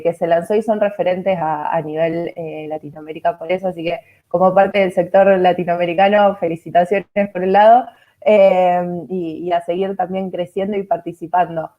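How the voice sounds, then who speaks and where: A woman's voice: lowish voice, highs cut off. A woman moderating a panel, hesitant with many fillers and repeats.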